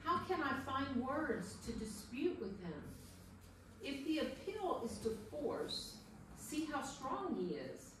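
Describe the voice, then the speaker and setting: A woman's voice: high voice, very animated, slow, exaggerated voice. A woman playing an anguished, despairing sufferer who cries out against God in a solemn dramatic scripture reading. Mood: resigned lament. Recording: echoey room from far off, faint noise.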